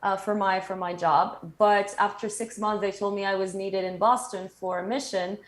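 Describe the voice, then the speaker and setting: A woman in her thirties from Morocco, a guest chatting casually in an interview, talking lively and laughing often. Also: mid-range voice, normal speed.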